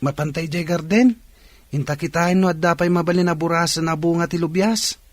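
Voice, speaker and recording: Polite hardworking young brother played by a man, Ilocano accent, slightly high male voice, dry radio drama sound. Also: steady tone, brisk, no room sound, natural voice. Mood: earnest.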